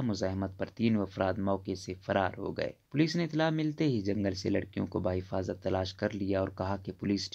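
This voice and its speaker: A man with an Urdu accent narrates a news report in a low, gravelly voice. He reads in a formal, measured way. The recording sounds dull and slightly lo-fi. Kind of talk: scripted news voice-over.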